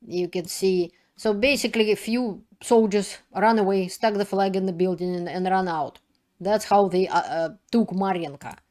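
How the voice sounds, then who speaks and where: A woman's voice: mid-range voice, moderate pace, darkish tone. Brief: Russian-accented woman, non-native speaker, channel host, unscripted commentary, restarts and loose grammar. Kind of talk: casual commentary to camera.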